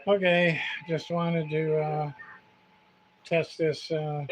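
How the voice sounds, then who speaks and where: A man's voice: fairly high pitch, flat delivery, slow, rough recording. A man hosting a casual livestream demonstration, thinking aloud in a hesitant, rambling, muttering way. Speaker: livestream host, CB radio repairer. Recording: clear background noise.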